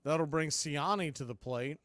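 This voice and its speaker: Clear-voiced male baseball play-by-play announcer. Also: a little high, unhurried, a bit bright, dry recording. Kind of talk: live baseball commentary.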